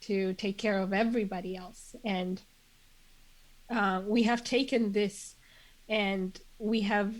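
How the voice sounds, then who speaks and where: A slow-speaking woman guest sounds congested. She talks earnestly and in measured phrases, often pausing on fillers and restarting as she builds her point. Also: medium pitch, fairly even intonation, poor audio. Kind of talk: reflective conversational interview.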